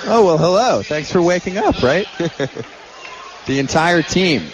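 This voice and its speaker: Guy doing color commentary on a hoops game, voice a bit high, loud arena noise behind. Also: animated, measured pace. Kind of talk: live basketball analysis. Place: courtside in an indoor arena.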